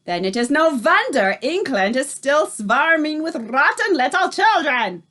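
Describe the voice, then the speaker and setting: A woman reading aloud, voicing the tiny Grand High Witch, a furious and commanding female witch leader who shouts and speaks in odd broken grammar. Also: big pitch swings, slow, exaggerated voice. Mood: enraged. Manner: shouting, shrieking, odd foreign-sounding grammar.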